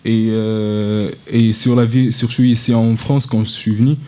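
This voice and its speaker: A young man, a student guest on live radio, speaking in a soft-spoken, hesitant way. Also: fairly low pitch, flat delivery, unhurried, clear, resonant tone. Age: young adult.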